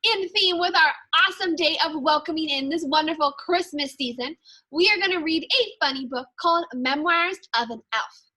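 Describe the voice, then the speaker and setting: A woman speaks as an elementary teacher to young children. Her voice sits high and has a bright, forward edge. She is animated and expressive, in the style of a classroom storybook reading. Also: normal speed, poor audio. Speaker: second grade teacher reading aloud to pupils.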